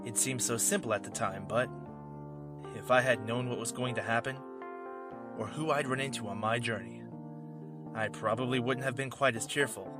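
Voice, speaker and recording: A man's voice: mid-range voice, fairly even intonation, moderate pace, pauses often, over music, lightly acted. A man playing a cocky wolf drifter, a former warrior, in an audio drama, with a sarcastic, casual delivery. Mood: reflective.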